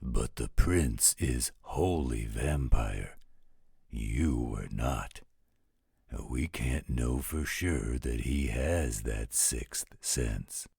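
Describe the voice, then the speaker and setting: A man playing a gruff, grumbling, overprotective werewolf guardian with a gravelly, husky voice in a roleplay audio drama. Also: extremely low pitch, expressive, darkish tone, no echo, studio quality, heavily acted. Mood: protective, disgruntled. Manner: slow, grumbling, disapproving.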